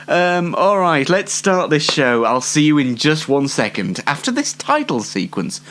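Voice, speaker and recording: Casting: British man, 20, solo show host, dry and rambling, with swooping intonation and slow delivery. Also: on the high side, sing-song, a bit bright. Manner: dry, tongue-in-cheek, rambling. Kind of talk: informal solo show presenting.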